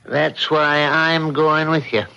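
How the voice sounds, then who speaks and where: An actor playing a concerned, cautious husband in an old-time radio mystery, deliberate and insistent. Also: male voice, fairly high pitch, level tone, gravelly, sharp tone, slight background noise, exaggerated voice. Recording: dull, band-limited radio drama recording.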